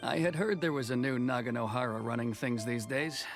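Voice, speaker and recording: A man's voice: medium pitch, a little fast, lightly acted. An actor playing a stern, weary male commission officer in a video game, with faint music behind. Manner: gruff, clipped, tired. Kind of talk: game voice acting.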